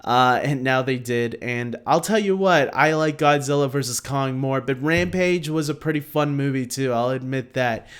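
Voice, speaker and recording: A man with a mid-pitched voice presents a casual ranking to viewers. He speaks unscripted, stopping and starting as he rambles along. He is self-deprecating and informal throughout. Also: normal speed, hardly any pauses, clear, resonant tone. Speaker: host of a film ranking video.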